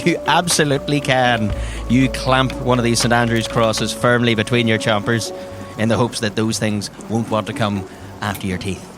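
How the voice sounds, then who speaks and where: A man's voice: mid-range voice, fairly even intonation, moderate pace. A man narrates a tabletop adventure dramatically in the second person as game master. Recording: music bed behind the voice. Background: background music.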